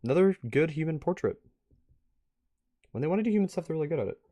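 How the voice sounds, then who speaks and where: A man's voice: a little high, very animated, fast talker, dark tone, no room sound, studio quality. A man giving casual, off-the-cuff commentary as a stream host, rambling and musing aloud. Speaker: host of a live art review stream.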